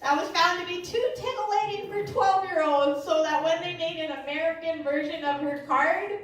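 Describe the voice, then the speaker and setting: A woman comedian in her early thirties performs live stand-up in a high-pitched voice. She talks conversationally, pokes fun at herself and acts out little exchanges. The recording is heavily echoing and sounds well away from the microphone. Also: normal speed, fairly bright tone, distant mic, a little hiss or hum. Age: early 30s. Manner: conversational, self-deprecating, acts out short dialogues.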